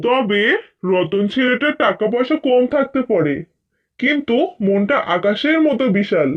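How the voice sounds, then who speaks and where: A man voicing a busy, flattering village matchmaker in a cartoon, chatty and effusive, on a dull recording. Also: very high voice. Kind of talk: Bengali cartoon fairy-tale acting. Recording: dull, lo-fi sound.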